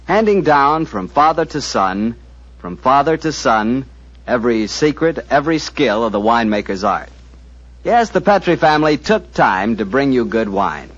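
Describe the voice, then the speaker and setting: Lively 1940s radio announcer man. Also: mid-range voice, moderate pace. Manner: smooth, persuasive ad delivery. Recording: lo-fi 1940s radio broadcast with music.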